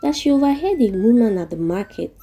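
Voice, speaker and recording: A woman with a Nigerian accent narrating a scripted folktale in a deliberate storytelling manner. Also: on the high side, lively intonation, warm tone. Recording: music playing behind the voice. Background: background music.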